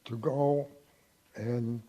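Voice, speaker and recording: Husky-voiced man in his nineties. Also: mid-range voice, steady tone, dark tone, lo-fi sound. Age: 90s. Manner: halting, reminiscing. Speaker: interviewee. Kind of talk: oral history interview.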